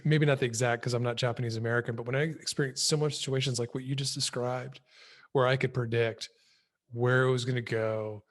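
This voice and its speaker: A man hosting a podcast, asking long, rambling, thoughtful questions and reflecting on his guest's answers. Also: medium pitch. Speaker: podcast host. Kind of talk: interview questions.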